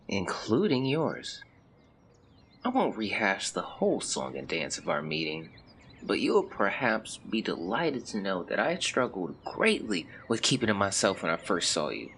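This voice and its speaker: An actor playing a melancholy young man who reads out a confessional farewell letter in measured, formal words, as in an audio drama. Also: medium pitch, pushed and bright, slight background noise. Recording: clear birdsong and outdoor ambience behind. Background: birdsong, nature ambience.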